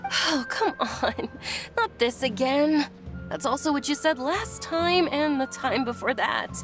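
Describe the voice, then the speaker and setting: High-voiced, earnest young noblewoman. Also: expressive, a little slow, music in the background. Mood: pleading. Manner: earnest, polite, pressing. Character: kind young noblewoman, perceptive, gently insistent friend. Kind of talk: video game voice acting.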